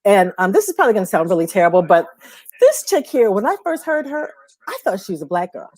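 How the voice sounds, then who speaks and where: A woman's voice: medium pitch, sing-song, fast talker, a little mellow, no echo. A woman co-hosting a music talk show, chatting casually with emphatic, slangy enthusiasm. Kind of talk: casual, unscripted chat.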